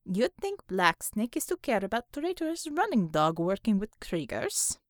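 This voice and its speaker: A woman's voice: on the high side, sing-song, slow pace, fairly bright tone, dry recording, clean studio sound, full character voice. A woman voicing a ruthless female commissar in an audiobook, with a Russian accent and taunting, threatening broken English. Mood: scornful, demanding.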